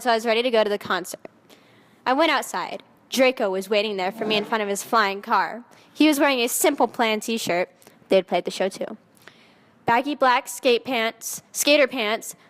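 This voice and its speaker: A woman's voice: a little high, lightly acted. A woman voicing a haughty, melodramatic seventeen-year-old goth vampire girl in a dramatic fan fiction reading, with emphatic teenage delivery. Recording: echoey hall with long reverb.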